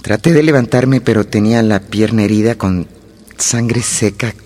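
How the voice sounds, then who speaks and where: An actor playing a wounded young guerrilla fighter, narrating his story gravely and with measured pace in a radio play. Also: fairly low pitch, darkish tone, lightly acted. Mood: subdued, pained. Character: wounded young male guerrilla fighter recounting an ambush and escape. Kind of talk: dramatic first-person narration in a radio play. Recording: radio drama with music underneath.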